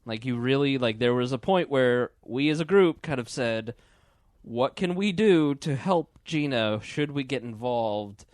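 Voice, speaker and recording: A man's voice: mid-range voice, animated, a little slow, a little mellow, dry recording. Casual male podcast host, close dry sound.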